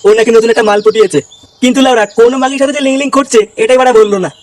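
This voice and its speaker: Man voicing a high, rapid grumbling cartoon layabout. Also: fairly even intonation. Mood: gossipy. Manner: crude, complaining. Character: grumbling cartoon layabout, foul-mouthed gossip. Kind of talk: comedy cartoon dubbing.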